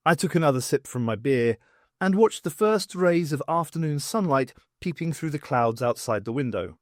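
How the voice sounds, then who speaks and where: Guy reading a novel out loud, kinda high voice, wry and really expressive, swoops around a lot. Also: moderate pace, no echo, studio quality. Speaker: audiobook reader. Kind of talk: expressive first-person fiction narration.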